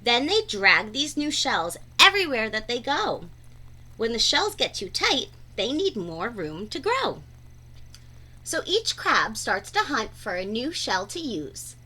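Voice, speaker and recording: A woman reading a children's picture book aloud in an expressive, deliberate, teacher-like way. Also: a little high, husky. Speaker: read-aloud storyteller.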